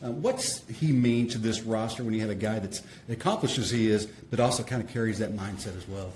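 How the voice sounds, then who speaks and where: A man's voice: on the low side, fairly quick, darkish tone. A man working as a sports reporter, asking a long, rambling question in an interview. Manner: rambling, loose. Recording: echoey room, faint noise.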